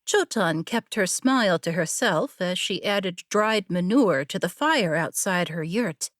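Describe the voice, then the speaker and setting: Slow, measured woman narrator. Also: mid-range voice, dry recording, studio quality. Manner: measured, literary storytelling. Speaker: audiobook narrator. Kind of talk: scripted story narration.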